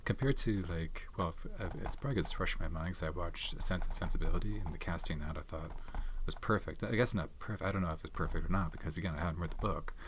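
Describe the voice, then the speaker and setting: A man talks softly and close to the mic in a very low voice, rambling through his thoughts with lots of fillers and restarts. Tapping and clicking sit in a clearly noisy background. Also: fast, hardly any pauses. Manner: soft-spoken, rambling with many fillers and restarts. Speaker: ASMR channel host reviewing his film collection. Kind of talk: soft-spoken ASMR chat.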